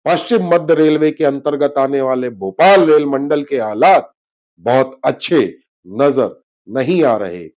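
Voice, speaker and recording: A man's voice: medium pitch, lively intonation, a little slow, darkish tone, lo-fi sound. A man presenting a scripted investigative news report in a dramatic, emphatic manner. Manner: dramatic, emphatic, with rhetorical questions.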